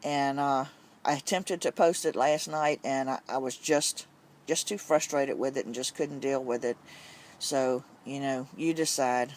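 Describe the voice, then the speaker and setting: A man's voice: medium pitch, fairly even intonation, unhurried. A man addressing his viewers, frustrated, repeating himself as he restarts his sentences. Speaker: video poster addressing viewers. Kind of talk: frustrated personal commentary.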